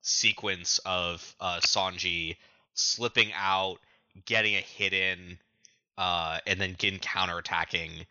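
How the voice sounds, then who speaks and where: Male podcast co-host. Deep, sharp-edged voice, stop-start casual storytelling, slightly lo-fi sound. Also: fairly even intonation, slow pace, clear, resonant tone, no echo. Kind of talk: casual recap of manga chapters.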